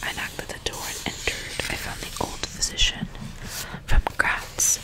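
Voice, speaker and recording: A woman doing an ASMR book reading in a soft, breathy, unvoiced whisper, with deliberate storytelling delivery. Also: poor audio. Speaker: ASMR host reading a novel aloud.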